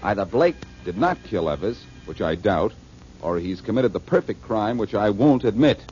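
A man's voice: lowish voice, sing-song, normal speed, a little mellow, soft background music. An actor playing a wry, brooding male detective in an old radio drama. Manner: dry, wry. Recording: dull old radio broadcast with hiss.

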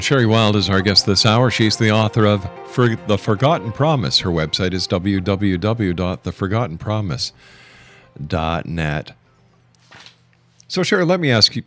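A man's voice: fairly low pitch, animated, measured pace, a bit bright, soft background music. A man hosting a radio interview, putting questions to a guest and repeating them to press the point. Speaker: radio show host, interviewer.

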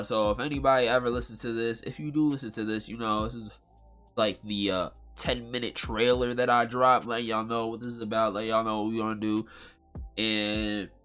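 A man with a bright, nasal voice hosts his own podcast at a home mic. He talks in a loose, rambling, slang-filled way, and faint music sits low behind him. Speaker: podcast host. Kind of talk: casual solo podcast talk.

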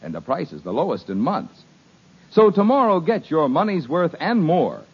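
A man's voice: a little high, big pitch swings, normal speed, breathy edge. A man reading a 1950s radio commercial as announcer, upbeat and salesy. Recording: dull old radio recording.

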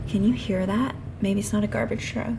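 A woman with a slightly hoarse voice that is starting to go, talking casually and chattily to listeners as a solo podcast host. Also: steady tone, a little fast, music in the background. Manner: chatty, informal, apologetic asides to listeners. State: losing her voice. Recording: clear background noise, passing truck rumble. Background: noisy truck outside.

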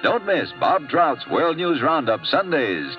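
A man's voice: a little high, very animated, unhurried. An American man reading a scripted 1950s network radio promo in a polished announcer's manner. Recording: muffled vintage radio with music. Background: music.

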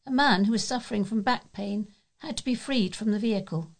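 A woman's voice: medium pitch, brisk. A woman with a British accent reads local news articles aloud as a volunteer newsreader.

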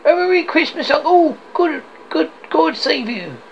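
A reader putting on a cheerful young man from a storybook, good-hearted and earnest. The voice is pitched high and swoops up and down as it exclaims and pleads. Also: sing-song, normal speed, vocal fry. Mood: cheerful, teasing. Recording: dull audio with faint noise.

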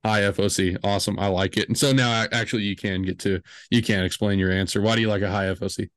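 A man's voice: fairly low pitch, steady tone, fast, fairly bright tone, no room sound, studio quality. A man in his twenties hosting a podcast, chatting casually and rambling with lots of fillers and jokes. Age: 20s. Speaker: podcast host.

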